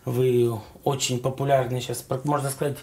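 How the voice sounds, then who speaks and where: A middle-aged man speaks, his voice hoarse from a cold. He hosts a studio interview at an unhurried pace, chatting and affirming as he goes. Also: mid-range voice, level tone, mellow. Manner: leisurely, chatty questioning with frequent affirming interjections. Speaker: talk show host.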